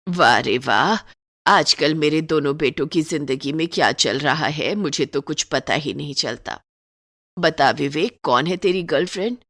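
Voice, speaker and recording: A woman's voice: low-pitched, measured pace, a bit bright, dry recording, studio quality, pitched below the performer's own voice, exaggerated voice. A woman voicing an elderly, trusting, long-suffering mother-in-law in a Hindi-style cartoon moral story, speaking in a plaintive, motherly way. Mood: curious, teasing. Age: older.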